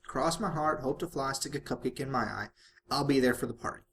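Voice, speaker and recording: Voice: mid-range voice, fairly quick, a bit bright, slight background noise, lightly acted. A storybook reader voicing a stubborn, honest female farm pony with a folksy, reluctant way of talking. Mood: reluctant, resigned.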